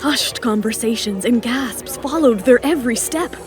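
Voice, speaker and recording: Female narrator, high voice, dramatic scripted romance storytelling, music bed underneath. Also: lively intonation, moderate pace.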